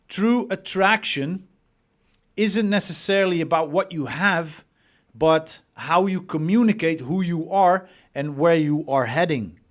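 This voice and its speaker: Dutch guy coaching men, slow and emphatic, kinda high voice. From Netherlands. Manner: emphatic, repeats words, rhetorical questions. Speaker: dating coach. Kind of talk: motivational coaching talk.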